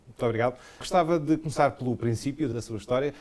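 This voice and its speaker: A man hosting a TV interview, asking formal, measured questions. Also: mid-range voice, a little mellow.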